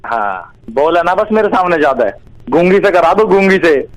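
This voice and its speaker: A man's voice: a little high, very animated, normal speed, background noise. A young man talking casually on a phone call in a blunt, demanding way. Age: young adult. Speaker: prank call recipient seeking a bride.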